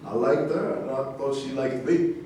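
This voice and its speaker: Echoey, distant actor as a blunt ex-boxer. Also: male voice, medium pitch, moderate pace, warm tone, close to an everyday voice. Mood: joking. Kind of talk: staged play reading. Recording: very echoey room, distant mic, faint noise.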